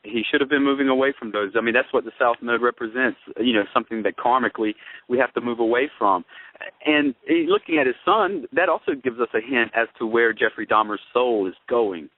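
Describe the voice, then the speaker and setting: A man's voice: medium pitch, brisk, dry recording. A man co-hosting a talk show, giving conversational astrological analysis in a wordy, expository way with rhetorical questions. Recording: narrow phone-like radio sound.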